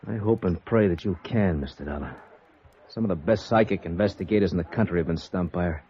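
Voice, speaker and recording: An American actor playing an intense, worried gangster's son in a mid-century radio drama, emphatic and earnest. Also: male voice, deep voice, natural voice. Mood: worried, insistent. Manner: emphatic, earnest, quick to flare up. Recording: vintage radio, dull sound, faint noise.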